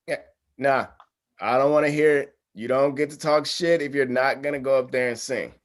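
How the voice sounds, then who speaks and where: Wry, reluctant young fiancé, read by a male actor, medium pitch, dry everyday delivery. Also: steady tone, moderate pace, close to an everyday voice. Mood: defensive. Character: reluctant, dryly wry groom-to-be among friends. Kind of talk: screenplay table read.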